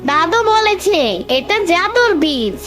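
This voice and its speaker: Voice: very high-pitched, very animated, slow, fairly bright tone, soft background music, full character voice. A performer voicing an eager, confident little village boy in a cartoon story, with a childlike, boastful manner. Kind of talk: Bengali cartoon story acting.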